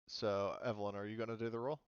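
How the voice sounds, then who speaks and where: Smooth, low-pitched man running a tabletop game. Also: little pitch movement, fast, no echo, lo-fi sound. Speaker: game master. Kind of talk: casual tabletop roleplaying game narration.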